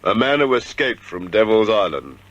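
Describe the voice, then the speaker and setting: A man's voice: on the low side, very animated, moderate pace, fairly bright tone. An actor playing a jovial, knowing male police station sergeant in a radio drama. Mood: amused. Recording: dry, dull vintage radio recording.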